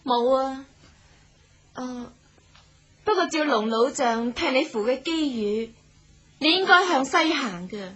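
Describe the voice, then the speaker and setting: A young martial maiden in a radio drama. Her voice is high, sharp and a little husky, with a playful, coy confidence. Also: expressive, takes it slowly, pauses often, muffled recording, exaggerated voice. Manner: coy, playful, confident, sentence-final lilting particles. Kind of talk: Cantonese radio drama acting.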